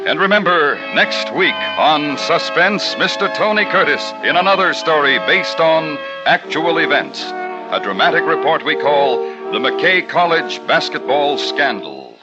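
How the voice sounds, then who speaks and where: A man announcing a radio drama in a formal, measured 1950s style. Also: fairly high pitch, sing-song. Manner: measured, stately delivery. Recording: dull old radio broadcast with loud music.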